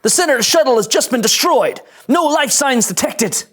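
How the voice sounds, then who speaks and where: A man's voice: extremely high pitch, animated, normal speed, fairly bright tone, heavily acted. A man playing an anxious, earnest young starship ensign in a sci-fi comedy, nervous and overdramatic. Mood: alarmed. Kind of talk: animated sci-fi comedy table read.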